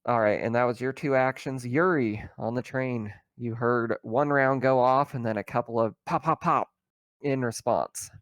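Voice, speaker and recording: A man's voice: medium pitch, fairly even intonation, normal speed, few pauses, no echo. A man, working as game master, narrating and refereeing an online tabletop game in casual, colloquial language.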